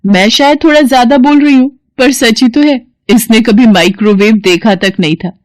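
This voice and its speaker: A woman voicing a sharp-tongued, boastful city-bred elder sister-in-law who taunts and looks down on others in a family story. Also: a little high, normal speed, dry recording, close to an everyday voice. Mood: scornful, mocking.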